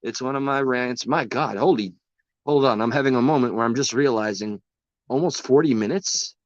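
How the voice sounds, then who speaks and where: A man's voice: mid-range voice, moderate pace, no room sound, highs cut off. A man hosting a livestream and chatting with viewers, rambling and passionate, swearing often, his voice worn from talking a long time. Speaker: livestream host. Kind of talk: casual livestream talk to chat.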